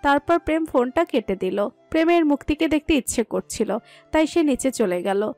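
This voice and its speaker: A woman's voice: high voice, expressive, normal speed, a little mellow, no echo, faint music behind. A woman reading scripted narration for a romantic story.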